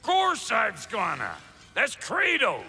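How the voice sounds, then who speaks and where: An actor playing a crusty, bickering dwarf blacksmith in a video game, blunt and full of folksy backwoods slang. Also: male voice, very high-pitched, huge pitch swings, slow, gravelly, pushed and bright, husky, big-room sound, faint music behind, heavily acted. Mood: indignant, cocky. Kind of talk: cinematic video game acting.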